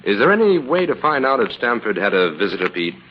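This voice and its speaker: An actor playing a shrewd, sceptical investigator with a probing, unhurried manner, in 1940s radio style. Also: male voice, mid-range voice, sing-song, close to an everyday voice. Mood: calm, probing. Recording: muffled, faintly noisy old radio recording.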